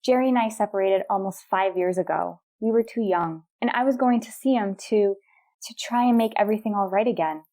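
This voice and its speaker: A young woman playing a frightened, wistful stowaway in a radio drama, halting, pleading and emotional. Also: mid-range voice, warm tone, close to an everyday voice. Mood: wistful, resigned. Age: young adult.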